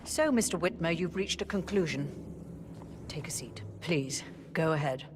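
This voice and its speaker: A woman's voice: sits low, lively intonation, moderate pace, background noise. An actress playing a cold, commanding older woman who heads a rich family, speaking in an imperious, clipped way in video game dialogue. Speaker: wealthy family matriarch.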